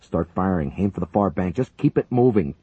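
A man voicing a shocked young male combat paramedic who yells frantic reports. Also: deep voice, steady tone, brisk, breathy edge, dry recording, narrow, tinny audio, pitched above the performer's own voice. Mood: frantic shouting. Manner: frantic yelling.

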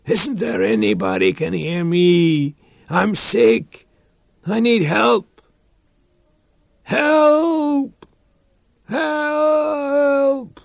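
A man voices a desperate man coming apart, hoarse and gravelly, his slow words swinging wildly in pitch as he pleads and cries out. The sound is dry and close, like a radio drama studio. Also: sing-song, fairly bright tone, no echo, heavily acted. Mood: panicked. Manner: pleading, cries out, wavers into sing-song. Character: desperate man unravelling into panic and madness.

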